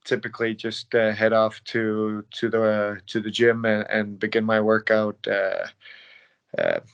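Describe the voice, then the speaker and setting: A man's voice: lowish voice, flat delivery, measured pace, creaky, clear, resonant tone, highs cut off. A man from Denmark in his late twenties answering interview questions as a professional ice hockey player, casual and laid-back. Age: late 20s. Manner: laid-back, not loud.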